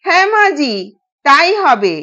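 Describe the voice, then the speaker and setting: Woman as a timid old manservant, slow-paced. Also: high-pitched, big pitch swings, pushed and bright, highs cut off, exaggerated voice. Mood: obedient. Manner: anxious, respectful. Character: timid, deferential older male household servant.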